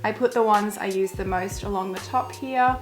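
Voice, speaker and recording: Aussie girl vlogging, kinda croaky like she's losing her voice, says like a lot, soft music underneath. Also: mid-range voice, normal speed. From Australia. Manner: chatty, lots of "like" fillers. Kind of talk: casual walkthrough.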